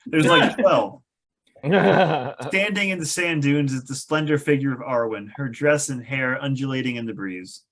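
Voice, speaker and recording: A man's voice: mid-range voice, normal speed, fairly bright tone. A man narrating a table read of a screenplay, reading stage directions with wry, amused asides.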